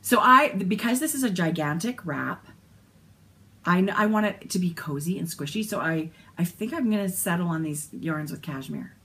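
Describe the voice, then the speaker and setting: A woman's voice: on the low side, expressive, normal speed, fairly bright tone, a little hiss or hum. A woman in her forties from Canada, co-hosting a knitting podcast with an eager, bursting way of talking, recorded at a desk camera in a yarn-filled craft room. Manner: enthusiastic, talks in bursts with restarts. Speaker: podcast co-host. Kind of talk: casual chat.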